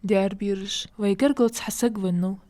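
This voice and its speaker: Kindly cartoon grandmother, mid-pitched, a woman acting. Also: steady tone, fairly quick, a crowd in the background, close to an everyday voice. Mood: calm, kindly. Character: kindly, patient grandmother who teaches children. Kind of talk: cartoon dialogue in a dub.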